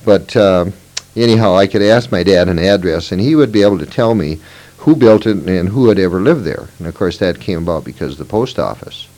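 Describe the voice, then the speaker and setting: A man's voice: sits low, animated, brisk, darkish tone, poor audio. A man in his fifties from Minnesota, recalling his past in an oral history interview, meandering with fillers and self-corrections. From Moorhead, Minnesota. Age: 50s.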